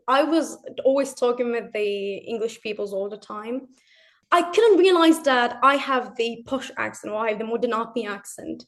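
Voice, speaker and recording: A young woman from Turkey speaks English, her voice pitched high. She talks in a hesitant, casual way, stumbling over and repeating words as she answers questions. She is a guest in an interview. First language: Turkish.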